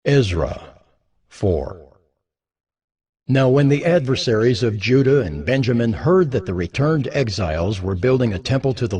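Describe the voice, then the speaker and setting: A man narrating scripture as a scripted dramatized reading, solemn and expressive in his storytelling. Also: mid-range voice. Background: music.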